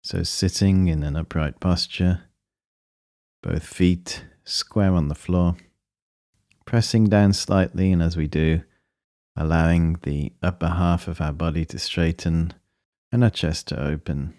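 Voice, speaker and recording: Low, slow, mellow man guiding meditation. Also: dry recording, studio quality. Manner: calm, measured, gently instructing. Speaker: meditation guide. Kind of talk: guided meditation narration.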